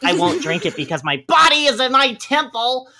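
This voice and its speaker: Woman, comedic reader, bright tone, animated intonation, theatrical, giggly, live podcast. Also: a little high, moderate pace. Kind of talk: comedic live reading of forum posts. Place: live group podcast recording.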